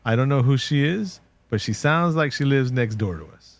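A man's voice: medium pitch, expressive, normal speed. A man co-hosting a podcast, joking and often stammering on restarts. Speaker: podcast co-host. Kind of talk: casual podcast chat.

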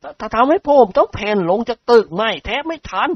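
A reader voicing a timid, panicky male servant who stammers in a high squeal. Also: lively intonation, fast, vocal fry, breathy edge, no room sound, muffled recording, full character voice.

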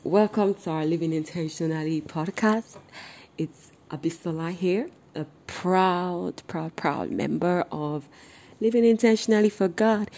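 Excitable Nigerian American woman podcast host. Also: fairly low pitch, measured pace. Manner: excitable, laughs often, exclaims.

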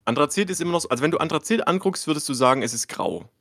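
A man's voice: on the high side, expressive, racing, creaky, fairly bright tone, no room sound, clean studio sound. A man co-hosting a podcast in casual chat, rambling through everyday stories and arguing small details. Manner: chatty, rambling storyteller, argues details. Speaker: podcast co-host.

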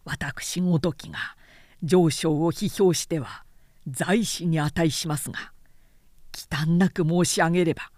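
A woman's voice: low-pitched, darkish tone, no room sound, studio quality. A woman voicing a respectful male retainer who advises his lord formally and with reason in an audiobook. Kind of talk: audiobook character acting.

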